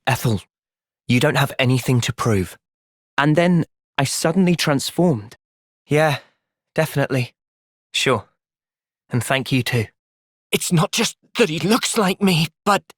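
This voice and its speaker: A man's voice: on the high side, expressive, a little slow, long pauses, no echo, clean studio sound. A man playing an earnest, thoughtful young soldier hero with a British accent in a video game. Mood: earnest, calm. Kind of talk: video game cutscene voice acting.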